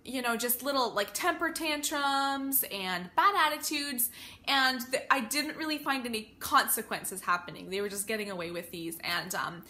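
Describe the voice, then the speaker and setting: Bright-voiced woman chatting in a casual vlog. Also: fairly high pitch, moderate pace. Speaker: homeschooling parent vlogger.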